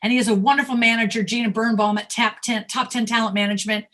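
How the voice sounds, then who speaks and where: Female interview host, higher register, sharp bright tone, effusive and enthusiastic, chatty delivery. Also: fairly even intonation, fast talker. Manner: effusive, complimentary. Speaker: host, talent manager.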